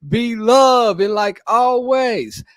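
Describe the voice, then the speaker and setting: Hyped high-voiced man hosting a podcast. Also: slow pace, no room sound, clean studio sound. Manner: playful, hyped, slangy banter. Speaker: podcast host. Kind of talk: casual chat with spouse.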